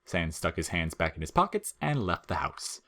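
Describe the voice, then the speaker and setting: Deep-voiced man narrating fiction. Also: sing-song, normal speed, pushed and bright.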